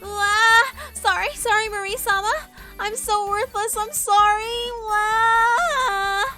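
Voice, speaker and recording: A woman's voice: extremely high pitch, fairly even intonation, slow pace, sharp tone, soft background music, pitched above the performer's own voice, exaggerated voice. A woman voicing a timid, self-loathing girl who whimpers apologetically in an anime-style visual novel. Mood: panicked apologizing. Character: timid, cringing, self-loathing girl, Higanbana of mirror world.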